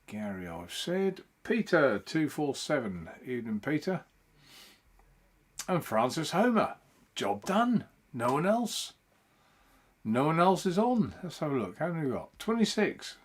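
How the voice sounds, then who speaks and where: A man hosting a live stream from his home, talking in a loose, rambling way. His pitch rises and falls a lot as he greets viewers by name one after another. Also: big pitch swings, unhurried, husky. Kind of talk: casual live-stream chat.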